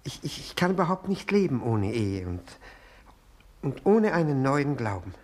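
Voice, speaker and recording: Casting: fawning, obsessive widower for vintage radio drama; rough-edged voice, widely swinging pitch, halting and pleading. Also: male voice, big pitch swings, darkish tone. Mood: pleading, agitated. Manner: halting, stammering, repeats words, pleading. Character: servile, self-abasing, obsessive widower who drinks. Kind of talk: 1960s German radio drama acting. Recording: 1960 radio play recording.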